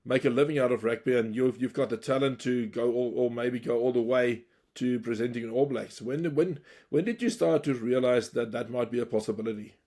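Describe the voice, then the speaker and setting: A man's voice: mid-range voice, fairly quick, few pauses, warm tone. A man hosting a podcast, asking questions in a friendly, chatty way. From South Africa. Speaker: podcast host, interviewer. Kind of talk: casual interview.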